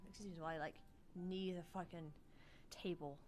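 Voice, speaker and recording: A woman's voice: on the low side, fairly even intonation, fairly quick, a little mellow, a little hiss or hum. A young woman co-hosting a gaming livestream in casual, playful chat, swearing now and then. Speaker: livestream co-host.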